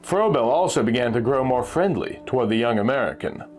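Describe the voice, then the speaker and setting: A man's voice: medium pitch, expressive, fairly quick. A man narrating a pulp adventure story from a script, as a storyteller reading aloud. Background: music.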